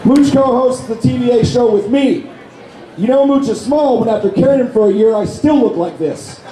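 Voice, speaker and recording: Hoarse guy roasting everyone, high voice, crude jokes, echoey hall, loud crowd. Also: normal speed. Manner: brash, profane insult jokes. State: hoarse, lost his voice. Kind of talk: live roast comedy set. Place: convention stage before a crowd.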